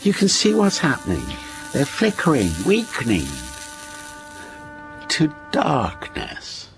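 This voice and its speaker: A man's voice: fairly high pitch, huge pitch swings, takes it slowly, over music, exaggerated voice, low-quality recording. An actor playing a menacing, obsessive man who tells a ghost story to a captive listener in a radio drama, insistent and intimate. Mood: quietly menacing.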